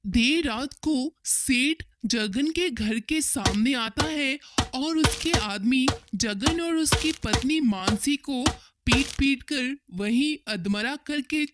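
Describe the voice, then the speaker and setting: A woman narrating a scripted cartoon story as a storyteller. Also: high-pitched.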